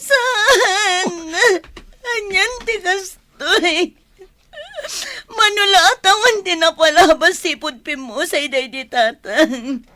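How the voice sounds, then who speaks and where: Actress as young Filipino farm wife, high bright voice, earnest, expressive, radio drama. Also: female voice, slow, heavily acted. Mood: distraught. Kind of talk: Ilocano radio drama acting.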